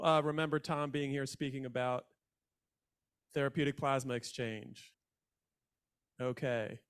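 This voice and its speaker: A man casually moderating a group meeting in a relaxed, chatty way. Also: on the high side, clean tone.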